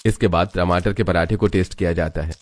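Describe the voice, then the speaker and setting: A man's voice: low-pitched, steady tone, a little fast, darkish tone, no echo, studio quality. A man narrating a scripted family story as its storyteller.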